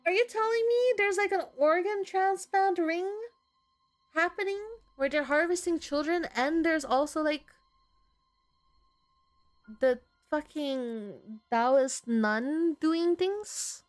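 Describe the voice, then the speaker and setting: A woman's voice: extremely high pitch, slow, no echo. A woman reading a visual novel aloud as she plays, narrating expressively and breaking into casual, exclaiming commentary. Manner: reactive, exclaiming, casual swearing.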